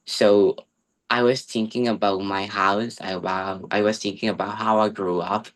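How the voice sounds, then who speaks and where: Eager low-voiced teenage man on a call. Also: level tone, unhurried. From El Salvador. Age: teens. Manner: eager, friendly, with fillers. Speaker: international webinar speaker. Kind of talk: prepared presentation on video call. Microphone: video call.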